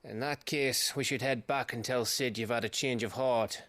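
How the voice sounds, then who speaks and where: A man playing a rough-spoken, loyal rebel scout in a video game, blunt and colloquial. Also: medium pitch, steady tone, moderate pace, fairly bright tone, close to an everyday voice. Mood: brisk, practical. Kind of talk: video game cutscene acting.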